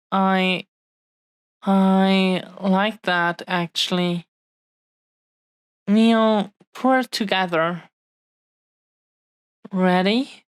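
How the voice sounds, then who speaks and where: Very slow, tender dragon-blooded woman, acted by a woman. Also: mid-range voice, smooth, no echo, poor audio. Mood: affectionate, gentle. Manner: hushed-paced, halting, affectionate, earnest delivery with long pauses. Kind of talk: intimate roleplay audio drama, addressing the listener.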